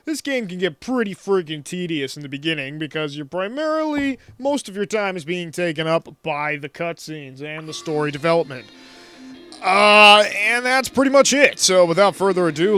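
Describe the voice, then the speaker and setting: Dude rambling and joking over his game, swears a bunch, kinda high voice, faint music. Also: expressive, moderate pace, fairly bright tone. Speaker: let's play host. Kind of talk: casual gameplay commentary.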